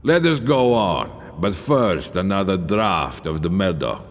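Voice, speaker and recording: A man voicing a drunken, proud nobleman in an audiobook, merry and dismissive, with tipsy, drawn-out speech. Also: sits low, animated, faint music behind, voice pushed up. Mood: insistent. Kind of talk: audiobook character voice. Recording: long cavernous reverb.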